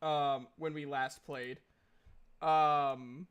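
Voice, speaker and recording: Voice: mid-range voice, level tone, very slow, clean tone, dull audio. A game master hosting a livestream, chatting casually and recapping a tabletop game in an informal, sweary way. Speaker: game master and stream host.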